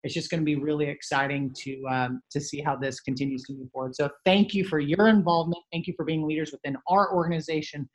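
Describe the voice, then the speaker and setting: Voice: medium pitch, steady tone, quick pace, hardly any pauses, poor audio. A host in a casual studio chat, speaking hesitantly, with many fillers.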